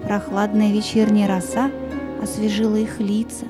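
A woman's voice: medium pitch, completely flat delivery, moderate pace. A woman narrating a scripted fairy-tale audio play as a storyteller, with music behind her.

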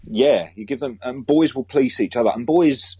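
A man's voice: mid-range voice, moderate pace, dark tone, breathy edge, dry recording. A British man, a teacher being interviewed, talking earnestly and emphatically in a conversational way. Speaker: school teacher and author, interview guest.

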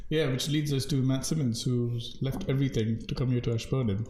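A man's voice: mid-range voice, steady tone, brisk, warm tone. A man hosting a live chat show in a joking, chatty way. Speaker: host. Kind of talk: casual chat. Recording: roomy echo, faint noise.

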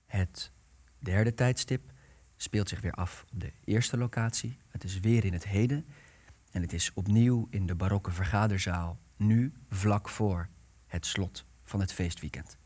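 A young man narrating a radio play, reading out the cast and stage directions in a measured, deliberate way. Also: on the low side, a little mellow.

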